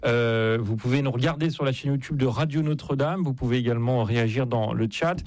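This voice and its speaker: A man's voice: medium pitch, brisk, a little mellow, no room sound. A man hosting a live radio interview, chatting casually and often repeating words as he searches for the next one. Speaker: radio show host and interviewer. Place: radio studio. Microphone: studio microphone.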